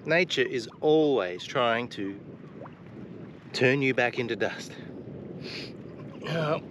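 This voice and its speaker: A man's voice: mid-range voice, animated, takes it slowly, noisy background. Chatty middle-aged man on a boat. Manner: chatty, rambling, exclaims often. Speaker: sailing vlogger. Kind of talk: casual vlog chat. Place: on a sailboat at night, then in the cabin.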